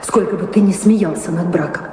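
A woman's voice: on the low side, normal speed, close to an everyday voice. Actress as imperious, worried lady of the castle; theatrical radio-play delivery, long reverb, noisy recording. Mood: earnest, insistent. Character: anxious imperious married noblewoman, mistress of a castle. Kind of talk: audio play stage acting.

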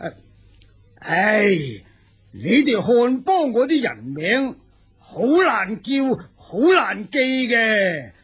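A proud, indignant warrior king in a radio drama. His voice is badly roughened and husky. He speaks slowly and weightily, halting between emphatic phrases. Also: high-pitched, big pitch swings, a bit bright, full character voice. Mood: hesitant. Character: proud, indignant Xiongnu king, husband and father.

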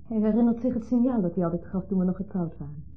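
A woman's voice: mid-range voice, animated, fast, lightly acted. A female actor playing a composed wife caught between two men in a 1970s Dutch radio drama, restrained and clipped in her replies. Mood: wistful recollection. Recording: muffled old 1970s radio recording.

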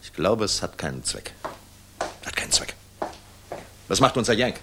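Deep, wry, world-weary general. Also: male voice, big pitch swings, normal speed, noisy background. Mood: weary brooding. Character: weary, wry middle-aged air force general, fatherly, worldly. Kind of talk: 1960s German radio drama acting.

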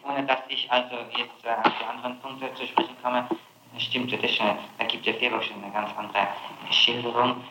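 A man questioning a suspect as public prosecutor, probing and halting, often tacking on tag questions. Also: medium pitch, level tone. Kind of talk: interrogation. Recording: noisy echoey archival interrogation tape.

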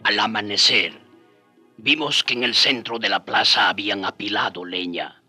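An actor playing a friar's companion, recalling their journeys as a measured radio drama storyteller. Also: male voice, lowish voice, fairly even intonation, pushed and bright, breathy edge, faint music behind, heavily acted. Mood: reflective.

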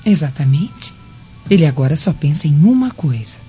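A bitter, neglected Roman noblewoman speaks in a low, mellow voice. The radio drama sound is dull, with music behind her. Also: sing-song, normal speed, breathy edge, heavily acted.

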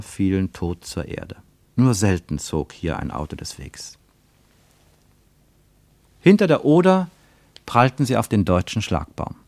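A man reading a scripted literary narration for a radio play in a measured, deliberate way. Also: lowish voice, darkish tone, no room sound.

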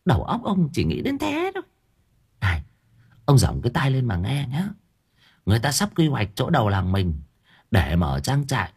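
A man voicing a sharp-tongued, scheming village wife who nags her husband and plots in low, coaxing tones. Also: huge pitch swings, normal speed, long pauses, husky, heavily acted. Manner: nagging, conspiratorial, whispers and cajoles.

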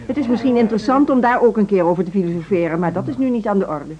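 An actress playing a formal, condescending woman who runs a music school in a radio drama, speaking in a measured, officious way. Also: medium pitch, expressive, lo-fi sound, crowd noise, natural voice. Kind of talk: Dutch radio drama acting.

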